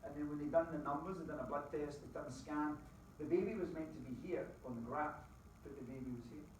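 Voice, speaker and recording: A middle-aged Scottish man giving a lively motivational talk to a live audience, quizzing the crowd with folksy asides. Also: on the high side, quick pace, a little hiss or hum. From Scotland. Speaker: business opportunity presenter. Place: presentation room with an audience. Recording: very echoey room, distant mic.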